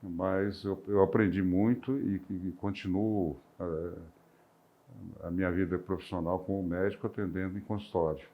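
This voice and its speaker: Deep-voiced older Brazilian doctor, hesitant. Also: male voice, fairly even intonation, moderate pace, phone-quality sound. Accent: rural São Paulo interior, near Minas Gerais. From interior of São Paulo state, Brazil. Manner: hesitant, with frequent restarts and filler sounds. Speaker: retired physician. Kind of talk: reflective personal recollection.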